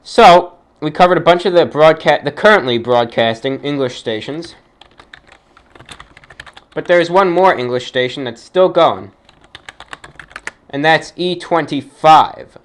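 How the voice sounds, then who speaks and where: A man's voice: fairly high pitch, unhurried, long pauses. A man hosting an informal radio-style show, speaking like an announcer with emphatic delivery. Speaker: show host.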